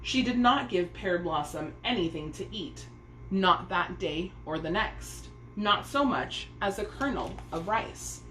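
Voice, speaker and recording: A woman narrates a children's story expressively. Her pitch is animated and her pace is on the slow side. The recording has clear room echo and faint noise. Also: faint music behind. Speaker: storybook reader.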